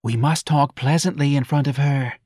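A man's voice: medium pitch, fairly even intonation, moderate pace, darkish tone, husky, no room sound, clean studio sound, voice pushed up, close to an everyday voice. A man voicing a self-righteous, meddling wife who scolds and pleads theatrically, in an audiobook story. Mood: hushed scolding.

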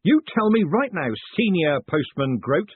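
A man voicing a quick-witted, exasperated male postmaster in an audiobook story. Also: fairly high pitch, huge pitch swings, husky, dry recording, narrow, tinny audio, pitched above the performer's own voice, exaggerated voice. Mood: exasperated, sharp.

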